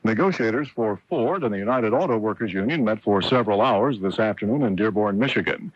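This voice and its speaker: A man's voice: on the low side, a little fast. An American man reading a scripted radio newscast as anchor, heard on a dull old AM broadcast.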